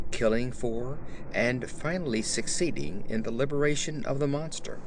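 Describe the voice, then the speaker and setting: A man narrating a horror story as a dramatic audiobook, in a measured, literary storytelling manner. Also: medium pitch, background noise.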